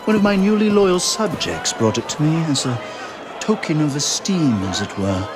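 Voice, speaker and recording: An actor playing a witty, theatrical aristocratic libertine in a video game, speaking in florid, teasing drawls with grand flourishes. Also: male voice, on the high side, big pitch swings, normal speed, over music, a crowd in the background. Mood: sly, musing. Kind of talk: video game cutscene acting.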